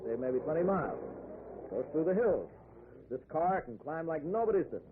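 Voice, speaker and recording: An actor playing a friendly, talkative driver in a breezy, nosy manner, in 1940s radio drama style. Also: male voice, a little high, lively intonation, fairly quick, close to an everyday voice. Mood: businesslike. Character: chatty, friendly middle-class man driving at night. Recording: tinny, noisy old radio recording.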